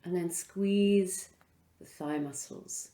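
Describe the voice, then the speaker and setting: A woman leading a guided relaxation as a yoga instructor, speaking in an unhurried, soothing way with pauses between phrases. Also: lowish voice, dark tone, echoey room.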